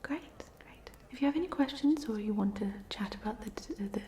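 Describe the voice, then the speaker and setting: Woman as a softly whispering, chatty ghost. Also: fairly high pitch, a little fast, slight background noise, close to an everyday voice. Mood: gentle, friendly. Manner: whispered, hesitant, gently rambling. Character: polite, chatty headless ghost of a drowned Hogwarts student. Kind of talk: whispered ASMR roleplay.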